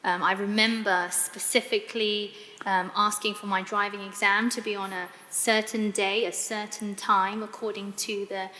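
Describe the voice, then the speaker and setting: A woman's voice: mid-range voice, flat delivery, moderate pace, pushed and bright. A woman with a British accent telling her personal story as a guest in a live interview. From United Kingdom. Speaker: interview guest giving testimony. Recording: echoey hall, clear background noise.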